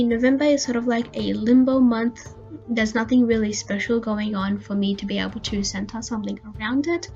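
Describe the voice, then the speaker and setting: A woman, a little croaky from being sick, narrating her journal setup in a soft, chatty and enthusiastic voice-over. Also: a little high, lo-fi sound. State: slightly croaky from illness. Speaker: bullet journal video creator. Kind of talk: voice-over narration of a crafting video. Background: faint background music.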